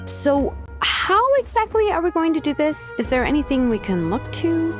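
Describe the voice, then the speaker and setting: A woman playing a confident, straight-talking young fighter in a video game, casual and expressive. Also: high voice, normal speed. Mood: confident, curious. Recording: music playing behind.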